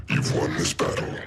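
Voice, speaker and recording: An actor playing a huge, contemptuous, gloating villain creature in a video game cutscene. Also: male voice, heavy background noise. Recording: loud game ambience behind.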